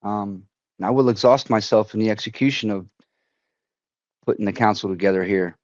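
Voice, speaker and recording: A man, a veteran, telling a personal story at a community council in a halting way, often restarting and quoting others. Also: on the low side, darkish tone, no echo, highs cut off. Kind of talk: personal story told to an online forum.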